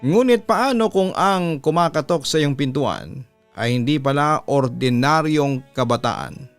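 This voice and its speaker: A Filipino man with a smooth, resonant voice reads a horror letter like a radio storyteller. His delivery is deliberate and dramatic, close on the mic, with music playing softly underneath. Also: on the high side, expressive, no echo. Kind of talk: dramatic reading of a listener's letter. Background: music bed.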